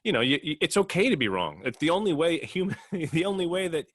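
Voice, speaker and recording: A man's voice: on the high side, big pitch swings, fast talker. A man on a podcast panel, talking reflectively and earnestly, often repeating phrases as he finds his words. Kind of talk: casual, reflective discussion.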